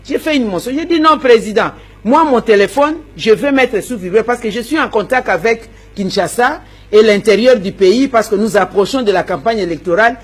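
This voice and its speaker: Husky woman, emphatic. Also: a little high, normal speed, hardly any pauses. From Democratic Republic of the Congo. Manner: emphatic, repeats words, stammers while searching. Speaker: opposition politician. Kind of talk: interview answers.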